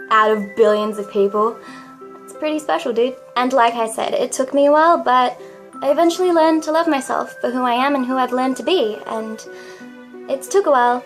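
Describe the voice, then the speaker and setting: High-voiced girlish young woman vlogger. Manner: high girlish voice, dramatic and self-mocking. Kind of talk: confessional vlog monologue.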